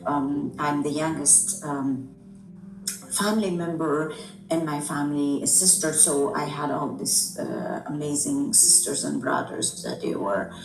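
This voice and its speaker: A middle-aged woman from Afghanistan, a native Dari speaker, answering interview questions in a thoughtful way with many pauses and fillers. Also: low-pitched. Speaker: guest. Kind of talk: conversational interview. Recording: echoey room, distant mic, music behind.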